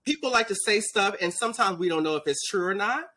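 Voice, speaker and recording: Man in his thirties, talk show host, sassy and teasing, lively swooping pitch, bright tone. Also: fast. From Dallas, Texas. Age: 30s. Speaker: podcast host. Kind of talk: casual interview chat. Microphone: video call.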